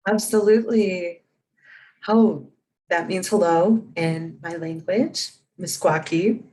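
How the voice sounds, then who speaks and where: A woman voicing a live sign language interpretation, her tone steady and measured, her pitch a little low. She sits well back from the mic on a video call, so she sounds distant. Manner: measured, steady, spells names letter by letter.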